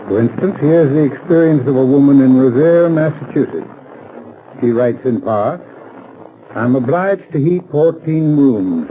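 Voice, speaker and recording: American guy doing a 1930s radio ad, mellow voice, formal, explains things. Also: medium pitch, a little hiss or hum, low-quality recording. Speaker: advertising spokesman. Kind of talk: scripted 1930s radio advertisement. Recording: vintage 1930s radio recording.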